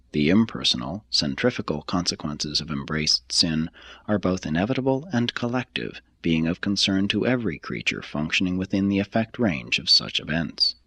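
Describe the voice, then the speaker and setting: A man reading a religious text as scripted narration, in a measured, solemn and formal manner. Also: low-pitched, a bit bright, breathy edge, no echo. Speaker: reader.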